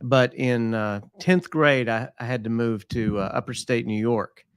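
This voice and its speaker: Man, Southern US accent, folksy, casual guest chat, halting delivery, medium pitch, dry sound. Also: clean tone, no room sound. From East Texas, born in Tennessee.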